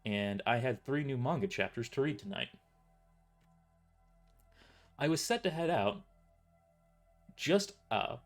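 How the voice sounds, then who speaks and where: A man narrating a scripted first-person story in an informal way, stumbling and correcting himself as he reads. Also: medium pitch. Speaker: author narrating own novel.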